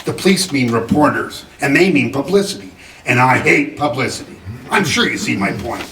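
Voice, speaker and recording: An actor playing an imperious, wealthy older uncle in a radio drama, formal and dismissive, with a gravelly voice. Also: male voice, medium pitch, big pitch swings, moderate pace. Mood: stern, dismissive. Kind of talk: 1940s radio drama reading. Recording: echoey room, distant mic, faint noise.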